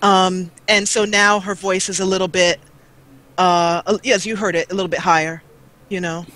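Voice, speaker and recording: A woman with a bright, forward voice chats in a relaxed conversational style. She speaks as a guest who makes gaming videos, and her tone has a clear edge to it. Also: moderate pace. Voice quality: low-voiced. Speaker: guest, gaming video maker and role-player. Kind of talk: casual chat.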